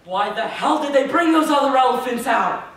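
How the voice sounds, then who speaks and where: A man playing a blunt, morbid, rough-talking rail yard townsman in dramatic stage storytelling. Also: very high-pitched, lively intonation, fairly quick, a bit bright, full character voice. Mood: impatient. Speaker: actor in a student readers theater group. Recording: very echoey hall, distant mic.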